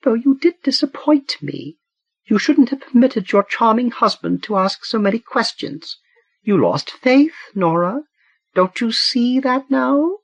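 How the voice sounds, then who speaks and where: A man voicing a genteel, elderly woman murderer in a high, sugary falsetto with slow, lilting delivery. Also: sing-song, creaky, no room sound, exaggerated voice. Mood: sweetly menacing. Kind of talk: audiobook narration.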